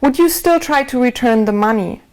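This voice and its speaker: A woman's voice: fairly high pitch, lively intonation, moderate pace, a little mellow, pitched above the performer's own voice, natural voice. A woman with a German accent voicing an old male ex-prisoner in a book reading, asking pointed questions. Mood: probing. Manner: questioning, insistent. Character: older male ex-prisoner, curious, probing.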